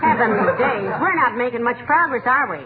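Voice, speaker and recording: A woman's voice: fairly high pitch, expressive, brisk, narrow, tinny audio, a loud crowd, lightly acted. A woman with an American accent playing a sensible, wry wife in a 1940s radio comedy. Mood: indignant. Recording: scratchy, thin, hissy 1940s radio recording.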